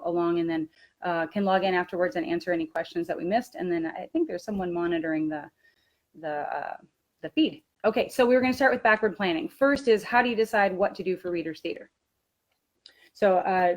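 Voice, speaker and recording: A woman giving an informal instructional webinar as a teacher trainer, explaining things in a halting way. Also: lowish voice, darkish tone.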